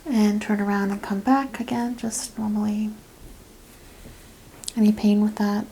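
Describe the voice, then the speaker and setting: Woman, mellow soft ASMR voice, gentle clinician instructions, slips into whispers, faint background noise. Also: mid-range voice, little pitch movement, unhurried, rough recording. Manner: gentle clinical questioning and step-by-step instructions, often dropping to a whisper. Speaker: ASMR practitioner in a chiropractic roleplay. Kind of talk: soft-spoken ASMR roleplay.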